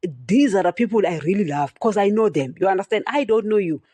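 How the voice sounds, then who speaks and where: A woman's voice: mid-range voice, lively intonation, brisk, warm tone, breathy edge. Blunt woman preaching with a stutter. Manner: direct, repeats "you understand?", addresses listeners as guys. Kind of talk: solo preaching podcast talk.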